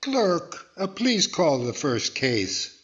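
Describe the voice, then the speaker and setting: Man as a lively, patient comedy straight man. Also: on the high side, slow, highs cut off. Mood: patient, chiding. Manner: polite, probing, gently chiding. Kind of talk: 1940s radio comedy acting. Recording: noticeable background noise.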